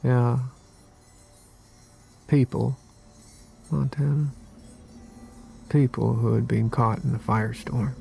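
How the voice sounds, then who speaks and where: A subdued war veteran in a radio play, haunted and understated. He speaks slowly and hesitantly, over faint music. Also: medium pitch, fairly even intonation, vocal fry, warm tone, low-quality recording. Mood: numb recollection. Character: haunted, subdued American war survivor recalling horrors. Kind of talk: radio drama acting.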